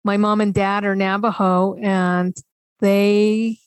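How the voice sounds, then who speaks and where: A woman's voice: medium pitch, flat delivery, slow pace, clear, resonant tone, no room sound. A woman author and speaker, a guest on a podcast, talking candidly and thoughtfully about her family and her own rules. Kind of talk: reflective conversation.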